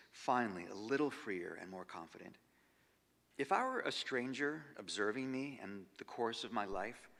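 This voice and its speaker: Creaky-voiced man reading in a hall. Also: mid-range voice. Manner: measured and expressive, dropping to near-whispers on trailing phrases. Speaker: actor giving a stage reading. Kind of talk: dramatic literary reading of diary entries. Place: large concert hall. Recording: long hall reverb.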